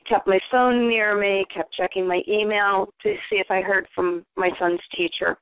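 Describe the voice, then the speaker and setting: A woman's voice: medium pitch, steady tone, normal speed, smooth, no echo, dull audio. A middle-aged woman giving a casual personal testimonial, telling her story conversationally as a parent on a recorded call. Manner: conversational, retelling dialogue in quotes. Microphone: recorded conference call.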